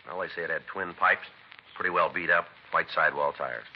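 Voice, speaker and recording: An actor playing a terse, deadpan American police detective in a low-key 1950s radio drama. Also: male voice, a little fast. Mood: businesslike. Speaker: police sergeant and narrator. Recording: dull, noisy 1950s radio recording.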